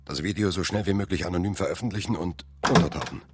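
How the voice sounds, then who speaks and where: A man's voice: sits low, quick pace, heavy background noise. An actor playing a decisive man leading a group on the run in a radio crime play, giving curt, tense commands. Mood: grim. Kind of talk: radio drama acting.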